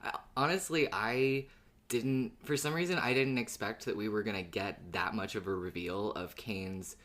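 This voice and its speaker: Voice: medium pitch, moderate pace, a bit bright. A podcast co-host speaking in a casual, conversational fan chat style.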